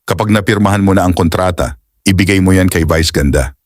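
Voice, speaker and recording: A man's voice: low-pitched, brisk, dry recording, clean studio sound. A man playing a serious, direct TV director in an audio drama, formal and mixing English into his speech. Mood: businesslike. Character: serious, businesslike male TV director, direct and commanding.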